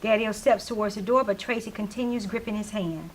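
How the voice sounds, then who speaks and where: A woman's voice: mid-range voice, a little fast, poor audio. A woman reading stage directions as scripted narration for a staged play reading. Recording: faint hiss, live room recording.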